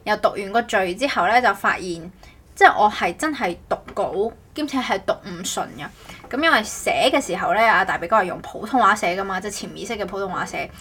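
A female host with a Cantonese accent and a slightly husky voice. Her pitch moves around in a lively way as she chats casually, often stopping to rephrase. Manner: halting, self-correcting. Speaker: podcast host. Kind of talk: casual chat about making an audio show.

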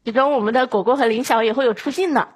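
A woman's voice: a little high, very fast, husky. A woman appearing as a podcast guest, chatting casually with joking, mock-boastful delivery.

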